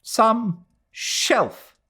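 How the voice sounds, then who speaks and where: A man's voice: high-pitched, lively intonation, very slow, pushed and bright, breathy edge, voice pushed up, heavily acted. A man voicing a bitter, weary old woman. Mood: sour. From Britain. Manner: sour, clipped, resentful.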